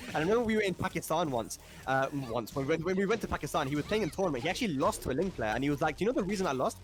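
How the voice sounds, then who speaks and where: A man calls a fighting-game tournament live, talking quickly and easily. He sounds chatty and enthusiastic. Faint game music plays underneath. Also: medium pitch. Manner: chatty, enthusiastic, laughs often. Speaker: esports commentator.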